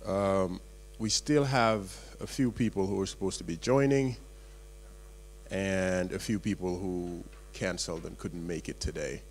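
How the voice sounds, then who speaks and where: A man hosting a small workshop, opening it with halting remarks full of fillers, in a meeting room. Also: sits low, a little hiss or hum, poor audio. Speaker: event host.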